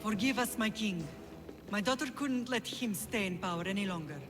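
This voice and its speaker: A woman's voice: mid-range voice, moderate pace, background noise, close to an everyday voice. An actress playing a proud, steely, middle-aged Spartan mother in a video game. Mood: composed. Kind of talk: video game dramatic acting.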